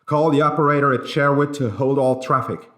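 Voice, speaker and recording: Male reader as a rattled male railroad superintendent, raised pitch, exclamatory, commanding, audiobook drama. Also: steady tone, fairly quick, a bit bright, roomy sound, close to an everyday voice. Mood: urgent, commanding.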